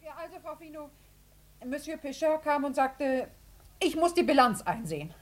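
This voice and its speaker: A woman's voice: high-pitched, sing-song, normal speed. A woman playing a flustered, defensive bookkeeper in a German radio drama, stammering plaintively and insisting she is blameless. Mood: flustered, nervous. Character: flustered, defensive, self-righteous female bookkeeper.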